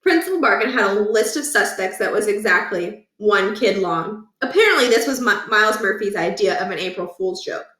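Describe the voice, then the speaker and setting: A woman reading a children's book aloud in an animated storytelling style. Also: mid-range voice, normal speed, hardly any pauses, reverberant, distant mic.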